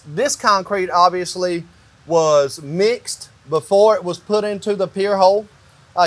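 A man in his fifties giving a hands-on explanation as a builder, making his points emphatically, step by step. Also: high voice. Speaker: company owner explaining construction. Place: indoors in a metal-walled barn or shop.

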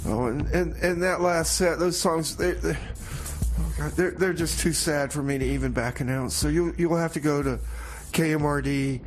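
A man hosting a community radio music show, with a folksy, theatrical, halting delivery that repeats phrases. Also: a little high.

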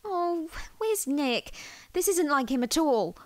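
A woman's voice: high-pitched, lively intonation, slow pace, a little mellow, no room sound. A woman playing an anxious, melodramatic young student in an audio drama, prickly, squeamish and sarcastic. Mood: sarcastic, uneasy.

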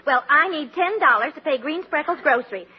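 A woman's voice: sits high, lively intonation, brisk. A woman playing a teasing American family member in a 1940s radio sitcom. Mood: pleading. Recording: dull old radio recording.

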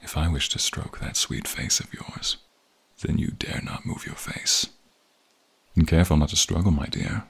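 A man voicing an alluring, menacing archmage who smugly toys with a captive in an ASMR fantasy roleplay, husky and breathy, with teasing murmurs. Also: rumbling low, a bit bright, exaggerated voice. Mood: possessive, seductive. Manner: slow, teasing, smug, drawn-out murmurs.

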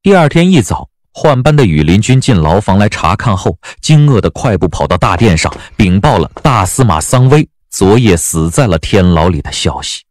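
A man narrating a dramatic audiobook as a measured, expressive storyteller. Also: on the low side, dry recording, studio quality.

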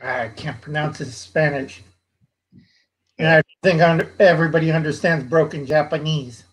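A man's voice: fairly high pitch, normal speed. A middle-aged man on a headset in a casual video call, losing his voice and chatty. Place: indoors, home.

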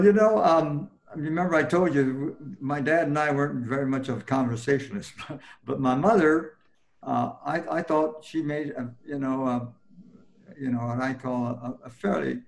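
An older man remembering his life as a guest on a video call, talking haltingly with many fillers and restarts. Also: medium pitch, gravelly. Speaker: panel guest. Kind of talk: personal recollection in an interview. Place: home room, indoors.

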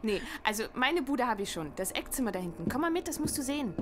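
An actress playing a snotty, sarcastic sixteen-year-old daughter in an audio drama, talking in slang. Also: female voice, fairly high pitch, very animated, quick pace. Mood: cheeky. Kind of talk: acted horror audio drama dialogue.

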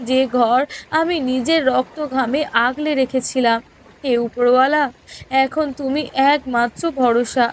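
High-pitched, plaintive wronged wife acted by a woman. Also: slight background noise, rough recording. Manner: plaintive, pleading. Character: wronged elder wife, meek, pleading, sorrowful. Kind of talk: melodramatic cartoon story dialogue.

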